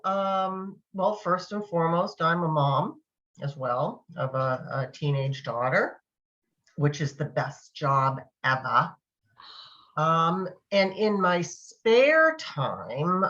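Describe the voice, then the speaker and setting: A woman's voice: low voice, fairly bright tone. A middle-aged woman chatting casually as a guest, thoughtful and a little hesitant in her phrasing. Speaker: guest author and massage therapist. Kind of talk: casual chat. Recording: dull lo-fi call audio.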